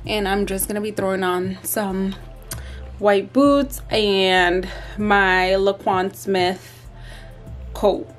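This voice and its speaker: A woman's voice: medium pitch, steady tone, takes it slowly, soft background music. A woman in her thirties chatting casually and tiredly to camera in a bedroom vlog, with lots of ums and likes. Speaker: vlogger. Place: bedroom at home.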